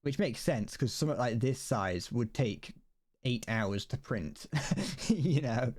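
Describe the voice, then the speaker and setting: A man's voice: mid-range voice, expressive, takes it slowly, a little mellow, no echo. A young man streaming as he builds a model kit, chatting casually, rambling, correcting himself and stumbling over his words.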